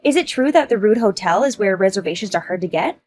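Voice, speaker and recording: A woman's voice: medium pitch, expressive, quick pace, a little mellow, close to an everyday voice. Condescending rich mom, put on by a woman; haughty and boastful. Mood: snobbish.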